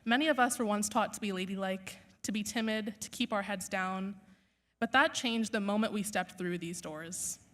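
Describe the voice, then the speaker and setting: A young woman whose first language is Urdu speaks at a podium mic on a school stage. Her delivery is earnest and measured, and she builds her points through rhetorical lists. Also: medium pitch, a bit bright. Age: young adult. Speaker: valedictorian. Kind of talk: formal graduation speech.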